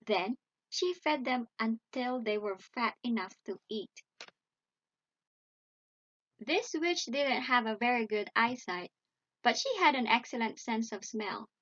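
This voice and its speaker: A woman reading a fairy tale aloud as a bedtime story, in a dramatic, measured storytelling manner. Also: fairly high pitch, expressive, fairly bright tone, highs cut off. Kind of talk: expressive read-aloud bedtime story narration.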